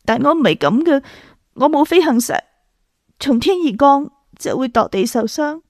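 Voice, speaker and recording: Pensive young woman, creaky high voice. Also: expressive, moderate pace, darkish tone, dry recording, studio quality. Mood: wistful, reflective. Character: young woman artist, pensive, wistful, haunted by the past. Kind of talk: radio drama acting.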